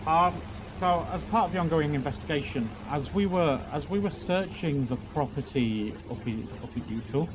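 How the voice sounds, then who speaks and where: A man playing a police officer giving testimony in a roleplay courtroom, talking haltingly with many fillers and repeated words. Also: on the high side, noisy background.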